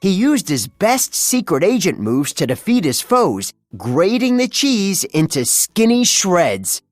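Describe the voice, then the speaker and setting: A narrator reading a children's story aloud in a scripted, dramatic and deliberate way. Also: mid-range voice, very animated, dry recording, clean studio sound, faint music behind.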